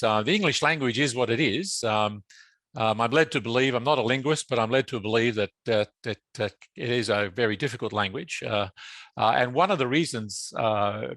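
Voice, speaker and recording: A man's voice: mid-range voice, fairly bright tone, dry recording. A man with an Australian accent giving an informal presentation, hesitant and often repeating his words. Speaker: guest speaker, presenter.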